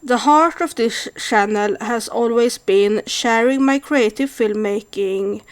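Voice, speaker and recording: A woman's voice: a little high, clean tone. A woman in her thirties from Sweden with a Swedish accent, speaking thoughtfully to camera with frequent hesitations. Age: 30s. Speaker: vlogger. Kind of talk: casual monologue to camera.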